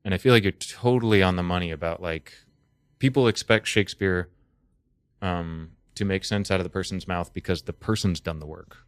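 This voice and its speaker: A man with an American accent and a very low voice chats as a podcast guest. He explains his ideas thoughtfully and conversationally. The sound is dry and close. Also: fairly quick, clear, resonant tone, no room sound. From Texas, United States.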